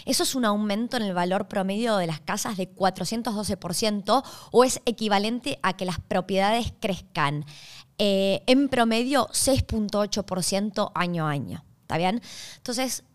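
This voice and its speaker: A young woman from Argentina talks with an Argentine Spanish accent at a middle pitch. She explains things clearly and steadily, like a host walking her viewers through a topic. She keeps checking in with short questions to make sure they follow. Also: moderate pace. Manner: clear, checks in with "¿está bien?" and "¿ok?". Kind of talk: explanatory talk to camera.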